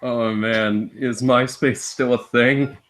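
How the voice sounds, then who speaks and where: Man, average pitch, guest commentator, casual chat, loose joking delivery. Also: steady tone, slow, fairly bright tone.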